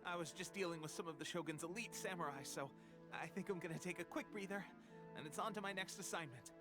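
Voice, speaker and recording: A man's voice: sits high, fast, over music, natural voice. An actor voicing an earnest young male resistance soldier who is coughing from a cold, in a game cutscene. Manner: earnest, coughing between lines. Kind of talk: video game cutscene voice acting.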